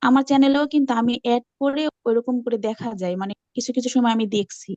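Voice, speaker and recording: Woman, high voice, eager and repetitive explainer in an online class discussion, dull dry call audio. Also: quick pace, mellow, no echo. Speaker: class participant. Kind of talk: casual group call discussion, explaining from own experience.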